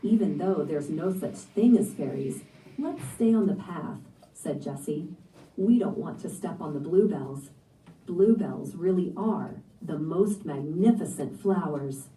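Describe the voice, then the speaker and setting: A woman narrates a children's story in a very dark, soft-edged tone. Her voice sits on the low side and comes through an echoey room, a little away from the microphone. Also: animated, unhurried. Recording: echoey room, picked up from a distance.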